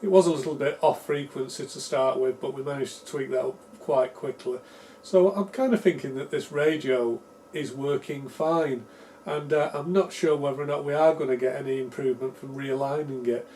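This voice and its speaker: A man's voice: mid-range voice, moderate pace, mellow, slight background noise. Man giving a tentative, casual repair walkthrough. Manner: tentative, self-deprecating, explanatory. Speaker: electronics repair video presenter. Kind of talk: casual unscripted technical walkthrough.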